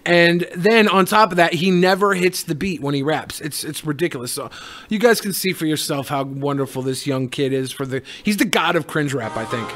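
A man's voice: fairly high pitch, moderate pace, a bit bright. A man co-hosting a podcast, giving casual, joking commentary in slangy, sweary language with mock exasperation. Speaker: podcast co-host who raps.

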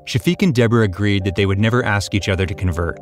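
A man hosting a podcast, giving calm, measured scripted documentary narration. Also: fairly low pitch, soft background music.

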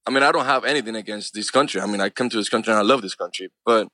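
A quick-talking man around forty with a Cuban accent, his first language Spanish. He talks with earnest conviction, dropping "man" and "you know" constantly, and the sound is close and dry with no room around it. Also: lowish voice, bright, forceful tone, dry recording. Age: 40s. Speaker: actor and filmmaker guest. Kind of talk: casual interview talk.

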